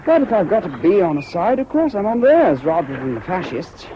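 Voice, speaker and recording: A man's voice: sits high, big pitch swings, a little fast. An actor playing a naive, indecisive young British man in a radio drama, hesitant and ingenuous. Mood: confiding, uncertain. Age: young adult. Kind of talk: BBC radio drama acting. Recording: dull, noisy old radio broadcast.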